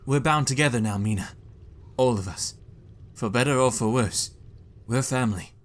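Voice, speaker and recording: A man's voice: mid-range voice, normal speed, lightly acted. An actor playing an anxious young male hero who narrates his journal in a brooding tone in an audio drama. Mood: tender.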